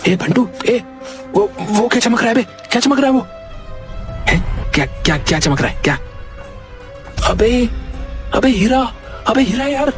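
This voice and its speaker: A man voicing a nosy, sneaky village henchman in a cartoon story, comic, mocking and excitable. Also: extremely high pitch, unhurried, pauses often, music in the background. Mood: astonished. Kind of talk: animated moral story acting.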